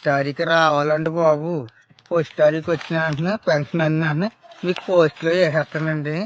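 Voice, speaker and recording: A man, playing a naive villager in a scripted phone comedy. He rambles and breaks into sudden loud outbursts. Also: fairly high pitch, little pitch movement, fairly quick, highs cut off, noisy background.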